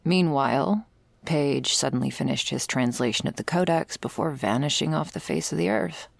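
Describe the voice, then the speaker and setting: A woman playing a weary, sardonic investigator of vampire lore in an intimate ASMR roleplay, speaking drily and sometimes hushed. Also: normal speed, dry recording, full character voice. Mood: dry, confiding. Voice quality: husky, creaky low voice. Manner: dry, sardonic, swearing, hushed in places.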